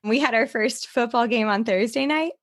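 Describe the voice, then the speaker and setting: A woman's voice: fairly high pitch, moderate pace. A woman hosting a podcast in an enthusiastic, friendly way, with a slightly scratchy voice. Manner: enthusiastic, gushing. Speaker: podcast host. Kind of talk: casual friendly interview chat.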